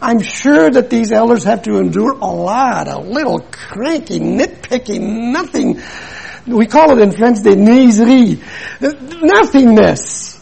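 Gravelly older man preaching earnestly. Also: very high voice, lively intonation, unhurried, darkish tone. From Milwaukee, USA. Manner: earnest, emphatic, repetitive preaching with anecdotes. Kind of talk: sermon.

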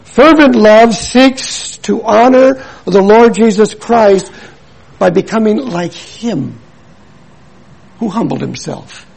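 Gravelly older man preaching earnestly. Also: sits high, takes it slowly, darkish tone, poor audio. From Milwaukee, USA. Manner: earnest, emphatic, repetitive preaching with anecdotes. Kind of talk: sermon.

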